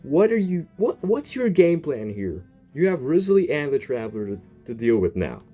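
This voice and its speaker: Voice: medium pitch, sing-song, normal speed, dark tone. A gamer giving casual, playful and slangy reaction commentary over a game's story. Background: game music.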